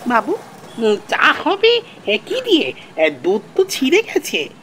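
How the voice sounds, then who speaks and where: A man voices a simple-minded male servant in an audio story. The voice is high and slow, hesitating over questions, with crowd-like sound behind it. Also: huge pitch swings, exaggerated voice. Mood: hesitant. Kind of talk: audio story character acting.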